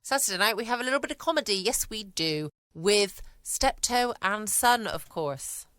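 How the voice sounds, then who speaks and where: A woman's voice: mid-range voice, animated, normal speed, a bit bright. A British woman hosting a late-night bedtime podcast, chatting casually and musing to herself. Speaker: podcast host.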